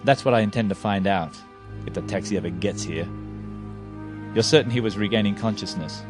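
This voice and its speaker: An actor playing a cold, calculating villain who speaks in a clipped, commanding way. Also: male voice, on the low side, brisk, music in the background, close to an everyday voice. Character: cold calculating male villain giving orders.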